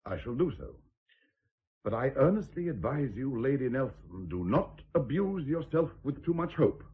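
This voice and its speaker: Period radio drama: courteous but blunt nobleman, low rough voice, animated emphatic phrasing, slightly lo-fi. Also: normal speed. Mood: stern, insistent. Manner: formal, emphatic, rhetorical. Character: stern, worldly, courteous British lord, a blunt advisor.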